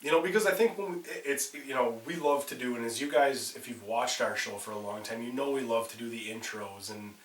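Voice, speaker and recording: A man's voice: mid-range voice, fairly quick, hardly any pauses, reverberant, far from the mic, low-quality recording. Stuffy-nosed man co-hosting a horror show. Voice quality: swears casually, trails off in restarts. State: nasal from a cold. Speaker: podcast co-host. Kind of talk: casual chat.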